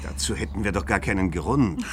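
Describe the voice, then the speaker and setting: Man acting an animated, evasive government official. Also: on the low side, a little fast, close to an everyday voice. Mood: formal, guarded. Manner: formal, pleading, hedging. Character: earnest, evasive male secret-service ministry official. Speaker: actor in a radio play. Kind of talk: German science-fiction radio drama dialogue. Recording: noisy vintage radio play recording.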